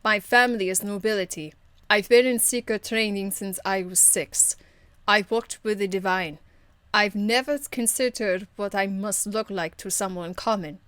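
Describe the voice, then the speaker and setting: A woman voicing a stern, dignified noblewoman warrior of faith for an amateur game voice demo, speaking in a formal, measured, earnest way. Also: medium pitch, steady tone, fairly bright tone, smooth, no room sound, natural voice. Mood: solemn.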